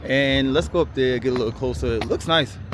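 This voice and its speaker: A man filming a casual travel vlog while walking outdoors through quiet city streets, rambling chattily with lots of "like" and "um". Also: mid-range voice, a little fast. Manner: rambling, chatty, many "like" and "um" fillers. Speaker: travel vlogger. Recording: outdoor street noise and traffic.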